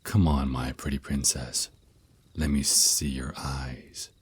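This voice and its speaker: A man's voice: a bass voice, little pitch movement, husky, full character voice. A man voicing a teasing, protective vampire naga boyfriend who mentors his lover in an intimate, reassuring ASMR roleplay. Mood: caring, instructive. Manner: soft teasing endearments, reassuring, unhurried. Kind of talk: ASMR roleplay, intimate one-sided dialogue.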